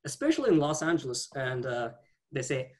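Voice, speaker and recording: A man from India doing a stand-up set on a video call, talking haltingly with repeated words and dry punchlines. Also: mid-range voice, big pitch swings, darkish tone. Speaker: stand-up comedian.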